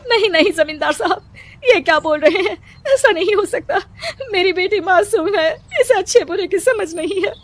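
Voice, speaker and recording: A woman voicing a distraught village mother in an animated moral story, pleading in a tearful wail. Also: extremely high pitch, moderate pace. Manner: wailing, tearful.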